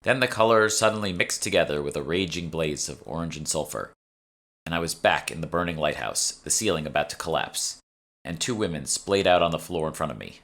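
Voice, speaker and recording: A man narrates a first-person fantasy story in a deep register. His tone is bright and forceful, and he reads as an author would from his own scripted book. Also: brisk. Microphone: close USB studio microphone.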